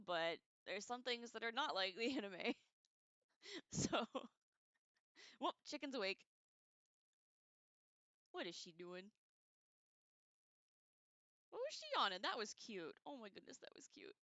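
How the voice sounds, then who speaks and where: A woman hosting a casual livestream read-along, expressive and informal, reading aloud and adding chatty, slangy asides. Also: medium pitch, no echo, muffled recording. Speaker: livestream host reading a book aloud with commentary.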